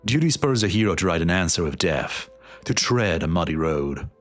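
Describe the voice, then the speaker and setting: A man playing a grim, weary and resolute war leader in a fantasy game dub, speaking gravely and deliberately. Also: low-pitched, very animated, faint music behind. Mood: grave, fatalistic.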